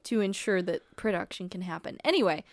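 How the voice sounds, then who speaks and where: A woman co-hosting a podcast from a home setup, speaking softly and quietly into her own mic, with frequent giggles. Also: lowish voice, brisk, dry recording, studio quality. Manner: soft-spoken, quiet, giggly. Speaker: podcast co-host. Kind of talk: casual podcast chat. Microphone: studio mic on an arm.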